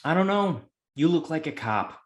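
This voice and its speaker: A man's voice: on the high side, expressive, normal speed, fairly bright tone. A man playing a teasing, foul-mouthed police inspector in a comedy table read, in a roomy, echoing recording.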